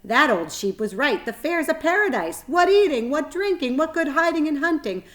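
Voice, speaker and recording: A woman's voice: high-pitched, very animated, normal speed, fairly bright tone, voice pushed up. A woman voicing a sly, self-serving male rat from a storybook, sneering and boastful. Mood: gleeful, boastful.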